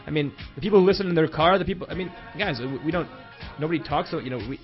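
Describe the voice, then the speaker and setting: A man co-hosting a sports radio show, talking earnestly with many quick restarts. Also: fairly high pitch. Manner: rapid, heartfelt, many restarts. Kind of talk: casual sports radio talk. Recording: dull lo-fi broadcast with faint music.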